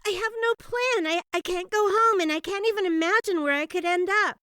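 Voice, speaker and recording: A woman's voice: extremely high pitch, fairly quick, sharp tone, no room sound, clean studio sound. A woman playing a young, haughty and dramatic princess in an audiobook, with a puckish, proper, theatrical delivery. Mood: flustered, dismayed. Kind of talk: audiobook character acting.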